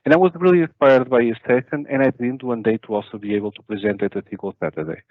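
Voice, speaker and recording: A man's voice: mid-range voice, very fast, no echo. A man from Portugal with a Portuguese accent, in his thirties, giving a talk at an online conference over a webcam, with many filler uhs and restarts. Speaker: conference speaker. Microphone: video call webcam. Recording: dull dry webcam audio.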